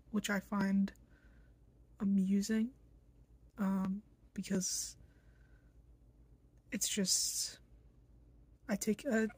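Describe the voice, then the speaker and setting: Slow, husky, irritable young woman. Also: medium pitch, fairly bright tone, dry recording. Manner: blunt, irritable, slow and halting with many fillers. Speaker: vlogger introducing themselves. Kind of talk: casual self-introduction to camera. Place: small bedroom indoors.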